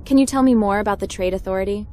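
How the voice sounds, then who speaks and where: A woman's voice: mid-range voice, racing, a little mellow, slight background noise, close to an everyday voice. A woman voicing a cool, direct hacker captain in a video game roleplay, polite and businesslike. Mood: polite, curious.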